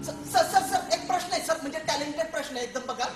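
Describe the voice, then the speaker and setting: A woman playing a lonely male soldier in a comic stage play, excitable and rambling, stammering and repeating words. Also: sits high, racing, close to an everyday voice. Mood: flustered, eager. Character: jittery, lonely, talkative male soldier at a forgotten post. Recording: echoey stage recording with music.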